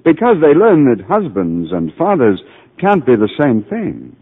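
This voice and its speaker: An actor playing a calm, patient middle-aged psychiatrist who narrates and gently explains things in a 1940s radio drama. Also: male voice, mid-range voice, measured pace, breathy edge, heavily acted. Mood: reassuring, gentle. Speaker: narrator and doctor. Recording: tinny old radio broadcast.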